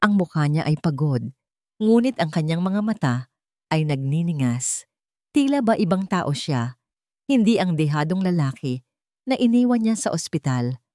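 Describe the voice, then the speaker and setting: A woman's voice: sits low, animated, normal speed, pauses often, dark tone, dry recording, clean studio sound. A woman with a Filipino accent reading a dramatic scripted story as narrator.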